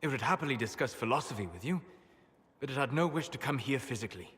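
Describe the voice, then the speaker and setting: An actor playing a grave, scholarly elf mage with a measured, formal manner. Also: male voice, mid-range voice, lively intonation, a bit bright, in a large hall, lightly acted. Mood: calm. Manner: measured, formal, bursts into anger.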